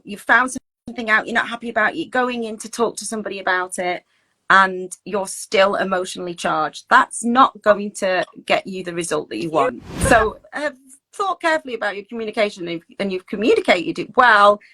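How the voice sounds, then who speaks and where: A woman's voice: medium pitch, pushed and bright. A woman coach talking through advice in a chatty, conversational, reassuring way, acting out example phrases as she goes. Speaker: teacher well-being coach and host. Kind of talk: informal advice talk, conversational.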